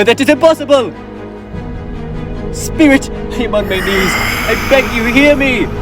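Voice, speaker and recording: A man's voice: high-pitched, natural voice. A teenage boy acting an old male miser on a school stage, pleading earnestly and theatrically. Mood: desperate. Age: teens. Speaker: stage actor. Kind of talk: high school stage play. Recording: guitar music behind.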